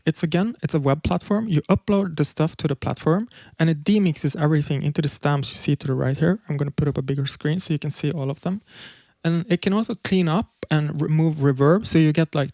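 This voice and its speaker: A man's voice: fairly high pitch, creaky, dark tone, no room sound. A man giving a casual livestream presentation, explaining things loosely with fillers and restarts. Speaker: presenter demonstrating music tools.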